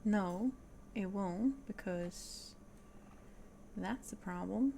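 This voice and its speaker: A woman's voice: medium pitch, extremely slow, vocal fry, warm tone, slight background noise. A woman with a Swedish accent, Swedish being her first language, streaming a puzzle game and thinking aloud, often dropping to a whisper. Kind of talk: casual puzzle game livestream.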